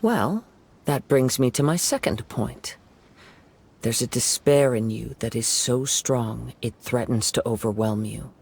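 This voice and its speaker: An actor voicing a calm, perceptive young wandering samurai in a video game, with a measured, soothing delivery. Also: male voice, medium pitch, creaky, a little hiss or hum.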